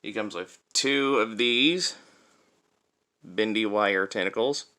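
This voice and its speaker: A man's voice: fairly low pitch, slow pace, pushed and bright. A man giving a casual hands-on demonstration as a toy reviewer, explaining things step by step.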